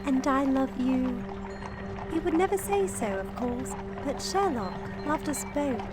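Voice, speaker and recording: An actress playing a spirited Victorian bride and sharpshooter in a radio drama, with a theatrical, exclamatory delivery. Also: female voice, high-pitched, expressive, unhurried. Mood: tender. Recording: loud dramatic music bed. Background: music.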